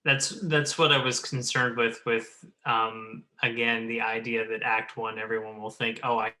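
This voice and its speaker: A man's voice: mid-range voice, level tone, moderate pace, pushed and bright, rough recording. A man chatting casually and earnestly in a panel looking back on a project, as its director and writer. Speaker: director, writer and producer of an audio drama. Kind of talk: casual retrospective panel chat.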